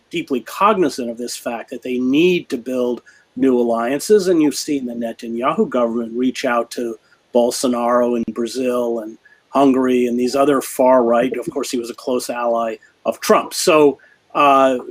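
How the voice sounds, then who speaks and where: Halting, grave-voiced man. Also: mid-range voice. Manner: halting, many fillers and restarts; grave, measured argument. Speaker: journalist guest. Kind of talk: interview answer.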